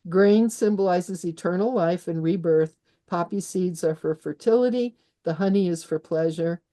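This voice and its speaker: A woman's voice: fairly low pitch, normal speed, mellow. A woman born in Austria, an author guest chatting in an interview, talking freely with restarts and little laughs. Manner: chatty, many restarts, laughs while explaining. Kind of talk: conversational interview.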